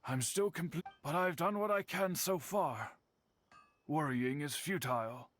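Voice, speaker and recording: A dignified older swordsmith in a video game. His voice sits a little high for a man and moves slowly and deliberately. He is formal and stoic, with music playing behind. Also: expressive, a bit bright. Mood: troubled, resigned. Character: dignified older male master swordsmith, stoic, worried uncle. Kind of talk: scripted video game dialogue.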